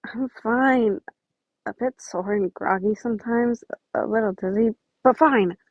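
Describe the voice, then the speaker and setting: A woman's voice: a little high, unhurried, no echo, lo-fi sound. A woman voicing a guarded, blunt teenage boy in a storybook reading, with a curt, sardonic manner.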